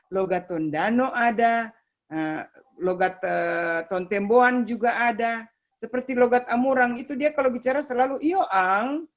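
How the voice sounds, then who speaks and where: A woman from North Sulawesi, explaining informally at a webinar, haltingly and with repeated words. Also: fairly high pitch. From Minahasa, North Sulawesi, Indonesia. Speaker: webinar speaker explaining local languages. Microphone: video call. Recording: dull, lo-fi online call audio.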